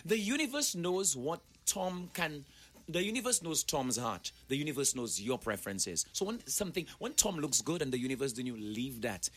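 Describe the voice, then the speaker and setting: Man, 40s, motivational guest. Slightly high, husky voice, preachy and emphatic. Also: big pitch swings, normal speed, a bit bright. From Saint Lucia. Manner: preachy, emphatic, addresses listeners directly. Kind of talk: animated motivational talk.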